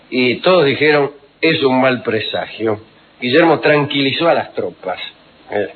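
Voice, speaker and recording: A man, telling historical anecdotes on the radio in an unhurried, wry, digressive way full of fillers. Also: mid-range voice, husky. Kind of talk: rambling storytelling on radio. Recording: tinny phone-like radio recording.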